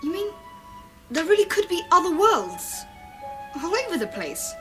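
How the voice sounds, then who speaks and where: A woman's voice: extremely high pitch, very animated, a little slow, over music, exaggerated voice, low-quality recording. A woman playing a logical, bossy English schoolchild, the eldest sibling. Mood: amazed. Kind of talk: radio drama acting.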